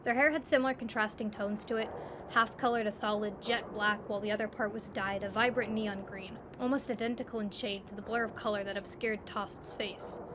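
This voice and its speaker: A woman's voice: mid-range voice, steady tone, fairly quick, noisy background. A woman narrating a scripted second-person story as the narrator.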